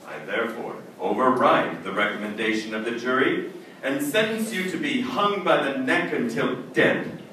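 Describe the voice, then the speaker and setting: A man's voice: medium pitch, expressive, normal speed, sharp tone. Cold, formal male judge, actor-played; declamatory old-time radio delivery, far from the mic in a reverberant space. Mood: stern, commanding. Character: stern, haunted male judge, cold and unbending. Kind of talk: old-time radio drama acting and narration. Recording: very echoey, distant, noisy stage recording.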